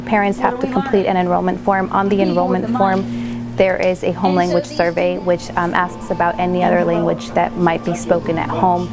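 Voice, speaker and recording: A woman who coordinates a school program, explaining it in an interview in a measured way with fillers. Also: on the low side, gravelly.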